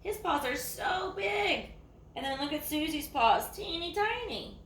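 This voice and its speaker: A woman with a Southern US accent reads a picture book to young children. Her voice is high and bright, and she explains things with questions in a child-directed way. The room is echoey, with faint background noise. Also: expressive, unhurried, recorded from a distance. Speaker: teacher reading to young children. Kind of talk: children's story read-aloud.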